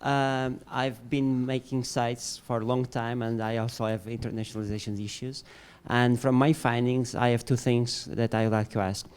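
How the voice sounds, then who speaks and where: A man's voice: medium pitch, level tone, moderate pace, a little mellow, smooth. A man from Portugal with a Portuguese accent, asking a technical question from the audience and searching for words.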